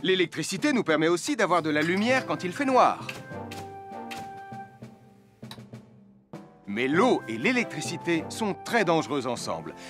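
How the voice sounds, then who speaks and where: A man playing a friendly firefighter who gives clear safety lessons in a children's cartoon. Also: fairly high pitch, big pitch swings, brisk, music in the background. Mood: instructive. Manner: clear, instructive. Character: friendly adult male firefighter teaching safety. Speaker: presenter.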